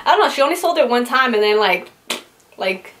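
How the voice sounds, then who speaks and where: A woman's voice: on the high side, animated, fairly quick, fairly bright tone. A young woman in her twenties, originally from California and raised in Utah, answers viewer questions in a casual, chatty vlog, filling her talk with "like". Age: 20s. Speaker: beauty vlogger. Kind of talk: casual get-to-know-me Q&A.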